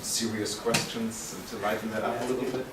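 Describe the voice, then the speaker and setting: A man's voice: fairly low pitch, little pitch movement, brisk, a loud crowd. A man moderating a panel, asking long, informal, discursive questions. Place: college panel event room. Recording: echoey room, distant mic, clear background noise.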